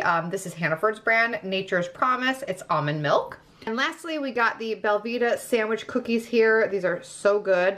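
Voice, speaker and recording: Woman vlogger talking casually at home, chatty and emphatic, average pitch, filming as she goes. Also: fairly bright tone. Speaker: vlog host. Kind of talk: casual grocery haul narration, chatty. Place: indoors at home, kitchen.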